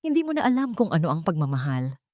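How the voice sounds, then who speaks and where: A woman voicing a betrayed wife in an audiobook drama, coldly calm, accusing and unforgiving, with controlled, cutting delivery. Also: low-pitched, quick pace, no room sound, clean studio sound. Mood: final, firm. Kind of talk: dramatic audiobook character acting.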